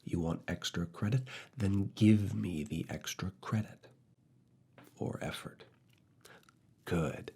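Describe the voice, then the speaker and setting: A man playing a firm, teasing, quietly seductive university professor in soft, intimate ASMR roleplay, speaking deliberately in a husky, breathy voice with whispered phrases. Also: full character voice. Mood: firm, challenging. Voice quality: husky, breathy, low gravelly voice. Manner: slow, deliberate, pauses between short phrases, slipping into whispers.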